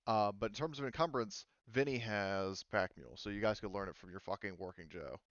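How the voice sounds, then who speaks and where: A man running an online tabletop game in a fairly low, clear voice. He explains things informally and in a rambling way. The sound is close and dry, slightly dulled like voice chat. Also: brisk, fairly bright tone, no room sound, muffled recording. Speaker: game master.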